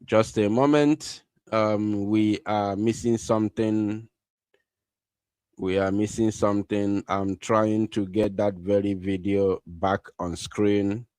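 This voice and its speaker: A man's voice: fairly low pitch, steady tone, takes it slowly, darkish tone, clean tone, no echo. A man hosting a live online broadcast, talking to listeners in an urgent, insistent way and repeating his phrases.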